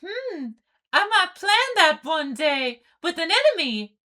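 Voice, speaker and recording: A woman's voice: extremely high pitch, very animated, takes it slowly, bright, forceful tone, full character voice. A woman voicing a bubbly, courteous young female sea pony in an amateur cartoon comic dub, with an eager, girlish delivery. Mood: curious, eager.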